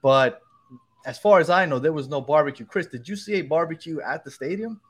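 A man's voice: a little high, brisk, husky. A man hosting a casual soccer fan podcast, chatty and joking. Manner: chatty, joking, loose restarts. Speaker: podcast host.

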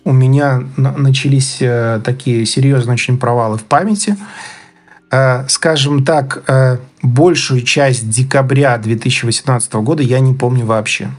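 A man from Belarus being interviewed, casually recounting his own experience in a halting way, searching for words. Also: medium pitch, steady tone. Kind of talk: casual spoken recollection. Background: background music.

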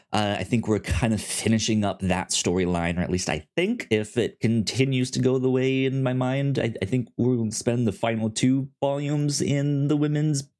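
A man's voice: medium pitch, darkish tone. A young man co-hosting a casual podcast chat, stammering hard on the first sounds of words. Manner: stutters heavily on first sounds. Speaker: podcast co-host.

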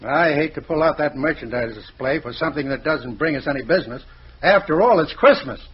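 An American actor playing a grumbling, tight-fisted department store boss in an old-time radio drama, blustery and exasperated. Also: male voice, on the high side, lively intonation, a little fast, slightly rough. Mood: grudging. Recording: muffled old radio recording.

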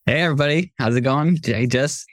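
A man from Morocco talking conversationally as a podcast guest, telling his own story as a software entrepreneur. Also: medium pitch, fairly quick, no echo, studio quality.

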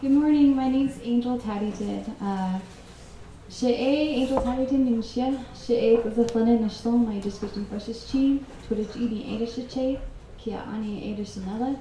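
A woman's voice: fairly high pitch, normal speed, mellow, a little hiss or hum. A woman from the Page area introducing herself on a panel with a formal greeting. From Page area, Arizona. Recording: echoey room.

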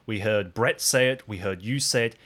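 A man's voice: on the low side, normal speed, a bit bright. Man playing an earnest, wary rookie ranger. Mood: wary, defiant. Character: earnest, wary newly commissioned young male park ranger. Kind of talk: improvised audio drama acting.

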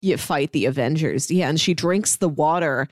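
A woman with a fairly low voice talks casually as a podcast co-host. She reviews and retells a story in relaxed conversation, recorded close and dry with very little room sound. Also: moderate pace, no echo. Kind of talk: casual chat reviewing a comic book.

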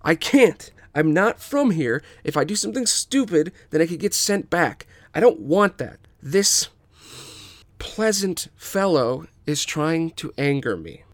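A man's voice: mid-range voice, animated, no room sound, close to an everyday voice. A man doing a restrained young waterbender. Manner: measured, restrained. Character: calm young waterbender, reserved, measured.

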